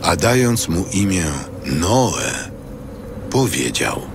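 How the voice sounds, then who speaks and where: A man narrating scripture from a script in a measured, solemn style. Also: low voice, very animated, vocal fry, noisy background. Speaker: narrator of an audio Bible.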